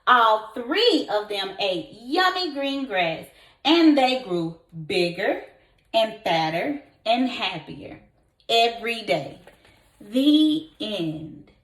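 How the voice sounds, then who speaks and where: A woman teacher reading a story aloud to young children, expressive and encouraging, putting questions to her listeners. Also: a little high, takes it slowly. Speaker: kindergarten teacher. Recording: echoey room.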